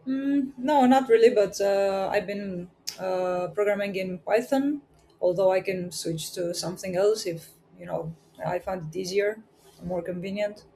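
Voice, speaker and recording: A woman answers a podcast host's questions. She sounds thoughtful and a little hesitant and often pauses to search for words. A faint hum of background noise sits behind her voice. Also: mid-range voice, mellow. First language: Romanian. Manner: hesitant, thoughtful, light laughs. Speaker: podcast guest. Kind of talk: casual interview answers.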